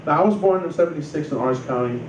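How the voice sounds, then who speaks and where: A man's voice: fairly high pitch, rapid-fire. A man in his thirties, from Samoa, casually telling his life story in a loose, rambling way full of "you know" and "like". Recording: roomy distant mic with noise.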